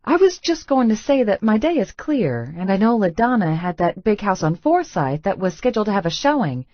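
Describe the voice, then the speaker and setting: A woman's voice: mid-range voice, sing-song, fairly quick, dry recording, dull audio, lightly acted. A woman voicing an eager, cheery, people-pleasing female realtor in an audiobook. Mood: fake cheery. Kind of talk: audiobook character dialogue.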